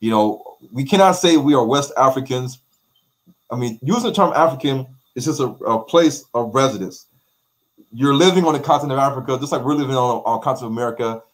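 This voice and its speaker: A man's voice: medium pitch, fairly quick. Middle aged guy on a video call, keeps repeating himself, lots of rhetorical questions. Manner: emphatic, repeats words, asks rhetorical questions. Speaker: documentary maker, guest. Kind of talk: casual video-call interview. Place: bright room with light walls.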